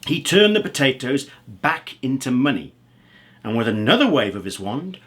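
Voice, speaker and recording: A man reading a children's story aloud as an expressive storyteller. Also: medium pitch, normal speed, slight background noise. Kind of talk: animated children's story narration.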